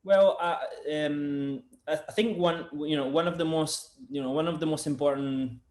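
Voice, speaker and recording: A man's voice: fairly high pitch, steady tone, moderate pace, a little mellow. A man talking casually on a video call, rambling with many fillers and restarts. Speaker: sound engineer and product developer, guest. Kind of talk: casual interview chat. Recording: roomy echo.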